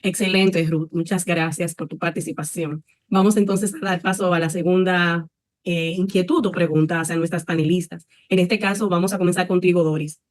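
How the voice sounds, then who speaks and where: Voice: mid-range voice, fairly even intonation, normal speed, no room sound. A panel moderator asking formal, courteous questions.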